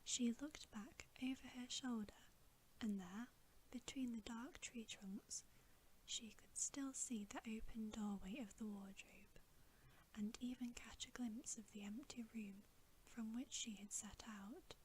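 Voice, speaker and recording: A woman reading a bedtime story as ASMR in a soft, breathy whisper, gentle and soothing, close to the microphone. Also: on the high side, unhurried, a little hiss or hum, poor audio.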